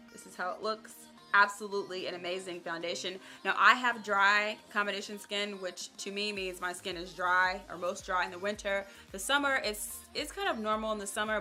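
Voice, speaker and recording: Chatty upbeat woman with a bright voice. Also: medium pitch, normal speed, soft background music. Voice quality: slightly raspy. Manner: upbeat, chatty, talks to viewers directly. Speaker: beauty vlogger. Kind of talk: casual chat to camera.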